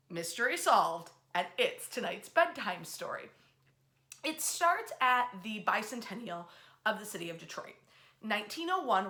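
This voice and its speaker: A woman hosting a history storytelling series, talking in a chatty, emphatic way with dramatic pauses and drawn-out words. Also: medium pitch, bright, forceful tone. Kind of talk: animated storytelling to an audience online.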